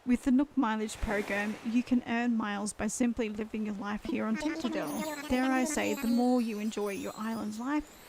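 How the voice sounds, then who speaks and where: A woman's voice: fairly high pitch, fairly even intonation, normal speed, few pauses, a crowd in the background, lightly acted. A woman reading aloud the lines of a boastful male raccoon shopkeeper from a video game. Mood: upbeat salesy.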